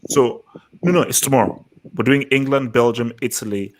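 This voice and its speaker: Male host of a chatty football call, mid-pitched, repeats himself, keeps the group on track. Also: normal speed, slight background noise, rough recording. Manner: loose, repeats words, herds the others. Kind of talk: casual livestream hangout chat.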